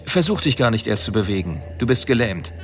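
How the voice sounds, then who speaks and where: An actor playing a rebellious, sardonic earthling with a mission in a science fiction radio drama, speaking in a smug, theatrical manner. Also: male voice, medium pitch, very animated, a little fast, faint music behind, close to an everyday voice. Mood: smug, wry.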